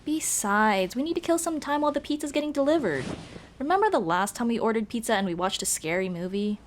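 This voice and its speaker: A woman's voice: a little high, sing-song, quick pace, slight background noise. A woman playing a young tomboy childhood friend, casual, teasing and caring, in a scripted ASMR roleplay. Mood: playful. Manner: casual, teasing, addresses listener as dude. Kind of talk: ASMR roleplay, scripted one-sided conversation.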